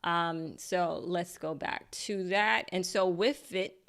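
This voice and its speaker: Chatty, lower-voiced woman coaching a live workshop. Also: slow pace, creaky, fairly bright tone, smooth. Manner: chatty and encouraging, laughs at her own slips. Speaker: LinkedIn marketing coach. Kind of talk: live online workshop teaching. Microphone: desk mic.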